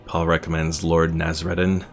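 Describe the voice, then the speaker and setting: A man's voice: very deep voice, monotone, normal speed, vocal fry, faint music behind. A man streaming a strategy game, thinking aloud and reading out names from his chat. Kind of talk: casual gameplay commentary.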